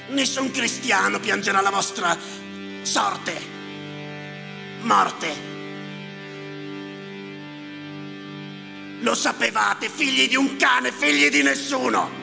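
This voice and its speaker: An actor playing a harsh, mocking man railing in a declamatory, repetitive stage monologue, with a gravelly voice. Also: high voice, sing-song, slow, pauses often, heavily acted. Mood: bitter, accusing. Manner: declamatory, incantatory, repeating phrases. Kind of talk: theatrical monologue with live music. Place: theatre stage. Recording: reverberant hall, music behind.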